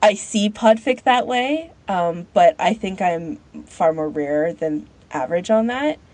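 A woman's voice: lowish voice, lively intonation, measured pace, a little mellow. A woman co-hosting a podcast, talking casually and conversationally with frequent filler "like". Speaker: podcast co-host.

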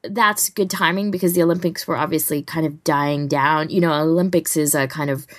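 A woman's voice: low-pitched, steady tone, a little fast, no echo, clean studio sound. A woman co-hosting a podcast in casual discussion, conversational and loosely phrased. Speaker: podcast host.

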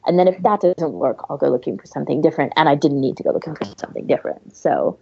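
A woman's voice: low-pitched, expressive, fast talker, gravelly, mellow, husky, no echo. A woman co-hosting a podcast in casual chat, on a slightly dull, lo-fi recording. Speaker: podcast co-host.

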